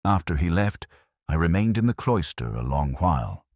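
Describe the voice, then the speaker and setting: Very deep, brooding detective narrator, voiced by a man. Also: big pitch swings, darkish tone, no echo, clean studio sound. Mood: grave, weary. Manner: measured, literary, solemn delivery. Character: brooding male detective inspector, melancholy and reflective. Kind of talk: scripted first-person mystery narration.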